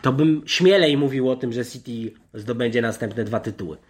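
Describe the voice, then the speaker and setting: A man's voice: mid-range voice, normal speed. A man talking casually as a football pundit in a podcast, rambling, repeating words and restarting his sentences.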